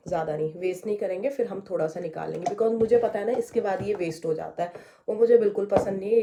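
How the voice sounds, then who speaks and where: A young woman from India, hoarse with a heavy voice from a sore throat, chatting her way step by step through a skincare routine at home. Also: medium pitch, background noise. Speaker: beauty vlogger. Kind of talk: casual skincare tutorial chat. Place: indoors at home.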